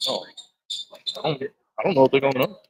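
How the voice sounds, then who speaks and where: A man from Arkansas, an indie comic creator, chatting casually at his convention booth and calling out to passersby, relaxed and halting with many restarts. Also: mid-range voice, slightly rough, low-quality recording.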